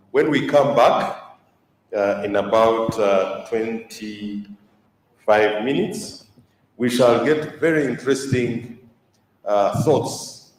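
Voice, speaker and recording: A man moderating a health conference session, halting with many fillers. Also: lowish voice, darkish tone, a little hiss or hum. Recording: echoey hall from far off, faint ambience.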